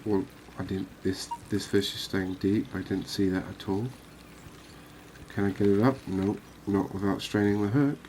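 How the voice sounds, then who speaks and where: A man giving relaxed, unhurried, musing ASMR-style gameplay commentary, chatting to his viewers. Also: on the low side, slight background noise, low-quality recording. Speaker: host of a cozy fishing game channel.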